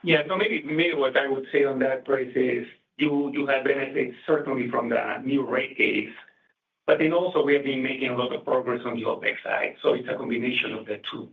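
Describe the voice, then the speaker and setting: A man's voice: medium pitch, a little fast, creaky. A man speaking as a company executive, answering an analyst's question on an earnings call. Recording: echoey, distant, phone-like conference line.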